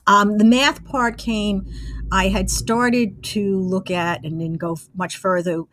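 A woman in her sixties telling casual anecdotes as an interview guest, with an animated storytelling manner. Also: medium pitch, takes it slowly, rough recording. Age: 60s. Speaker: interview guest, former college radio engineer.

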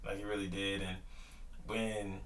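A man's voice: low-pitched, level tone, measured pace, a bit bright, recorded from a distance. Young man rambling with fillers, echoey room. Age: 20s. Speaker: podcast co-host. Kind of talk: casual faith-based couple talk.

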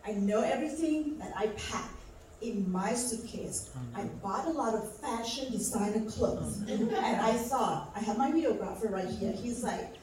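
A woman's voice: on the high side, moderate pace, a crowd in the background. A woman with a Thai accent giving a personal motivational talk, telling her story to an audience and repeating words for emphasis. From Thailand. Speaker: conference speaker. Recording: echoey hall from far off, faint noise.

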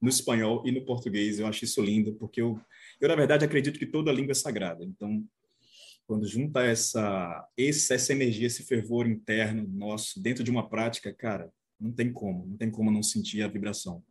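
A man's voice: fairly low pitch, fairly quick, breathy edge. A Brazilian man chatting enthusiastically as a panelist, casual and often checking for agreement. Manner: enthusiastic, frequently seeks agreement. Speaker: panelist on a live online discussion show. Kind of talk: casual chat, closing remarks.

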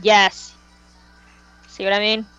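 A woman's voice: mid-range voice, level tone, slow, pushed and bright, clean tone, no room sound, soft background music. A woman co-hosting a fan podcast in casual, enthusiastic and opinionated chat. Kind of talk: casual chat, reacting to a music video. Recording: dull lo-fi voice chat audio.

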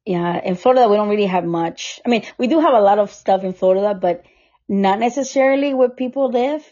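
A woman's voice: medium pitch, fairly quick, warm tone, muffled recording. A woman from Costa Rica hosting a podcast, telling stories in a chatty way and laughing often. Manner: chatty storyteller who laughs often and acts out what others said. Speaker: podcast host. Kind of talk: casual chat over a recording app. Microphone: remote recording app.

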